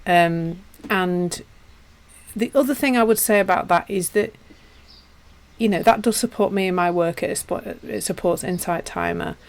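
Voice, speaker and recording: A woman with a Yorkshire accent teaching and chatting casually with viewers on a live stream, self-deprecating and warm in her asides. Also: on the low side, measured pace, creaky. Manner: chatty and self-deprecating, with frequent restarts and laughs. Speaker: meditation teacher.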